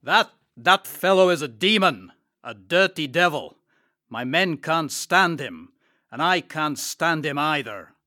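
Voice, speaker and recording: Man narrator doing a bullying ship captain: high, bright, snarling. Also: expressive, slow pace, no echo, studio quality, exaggerated voice. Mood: angry. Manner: snarling, contemptuous. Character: brutal angry male ship captain.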